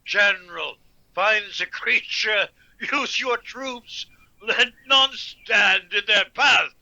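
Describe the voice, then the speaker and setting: A gravelly, imperious zealot baron. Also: male voice, sits high, slow pace, sharp tone, muffled recording, full character voice. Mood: commanding. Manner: pompous, imperious, formal archaic speech. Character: proud, zealous, ruthless baron and hunter of the unholy. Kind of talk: radio drama acting.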